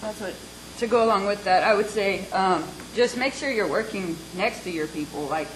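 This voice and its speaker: A woman's voice: medium pitch, normal speed, poor audio. Woman panelist, no-nonsense, pep-talk energy, bit of hum. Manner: blunt, hands-on, encouraging. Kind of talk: panel discussion.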